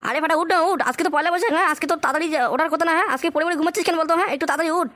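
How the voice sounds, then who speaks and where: A man voicing a fussy, coaxing father in an amateur comic cartoon, nagging repetitively in a pitched-up voice. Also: rapid-fire, noisy background. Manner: repetitive nagging with questioning tag words. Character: fussy, coaxing father rousing his foul-mouthed son.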